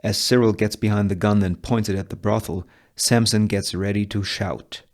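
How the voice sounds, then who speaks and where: A man reading screenplay narration and scene headings in a measured, deliberate way, in short phrases. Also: fairly low pitch, steady tone. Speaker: table read narrator reading all parts.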